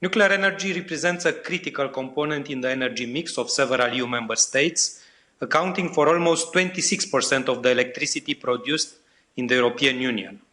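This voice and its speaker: Bright-voiced man reading a formal statement. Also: mid-range voice. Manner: formal, reading with filler pauses. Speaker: committee chair.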